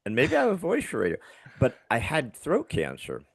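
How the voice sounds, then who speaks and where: An older man hosting a podcast, with a gravelly baritone and a slight lisp, enthusiastic and casual as he reads out a guest's bio. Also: huge pitch swings, brisk, dry recording. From Long Island. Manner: enthusiastic, casual, calls guest buddy and dude. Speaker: podcast host.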